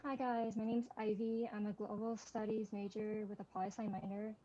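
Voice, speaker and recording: A soft-spoken young woman introducing herself as a student on a video call. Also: medium pitch, little pitch movement, brisk, lo-fi sound, a little hiss or hum. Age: young adult. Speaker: student panelist.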